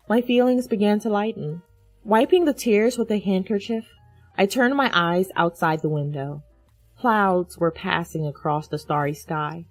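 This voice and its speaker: A woman's voice: lowish voice, dry recording. A woman reading a scripted first-person dramatic story as its narrator.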